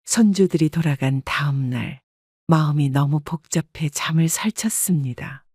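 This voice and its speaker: Lady narrating a sad family story, steady even tone, dry studio sound. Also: low-pitched, breathy edge, dry recording. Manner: calm, measured storytelling. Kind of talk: first-person audiobook narration.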